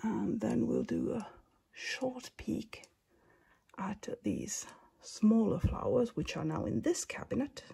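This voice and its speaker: Finnish woman, slow soft half-whispered tour, raspy creaky voice, lots of ums. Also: on the high side, lively intonation. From Finland. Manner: soft, often whispery, unhurried, many ums. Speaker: collector giving a tour. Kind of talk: casual narrated collection tour. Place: indoors at display cabinets at home.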